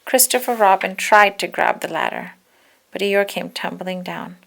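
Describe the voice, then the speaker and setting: Creaky-voiced woman reading a children's story. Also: mid-range voice, moderate pace. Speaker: storybook reader. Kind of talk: children's read-aloud narration.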